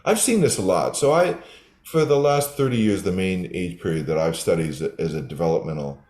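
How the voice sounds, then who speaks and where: A man's voice: low voice, expressive, a little fast, darkish tone. An older man, a developmental psychologist, explaining his research in a thoughtful interview answer with frequent restarts. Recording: roomy echo.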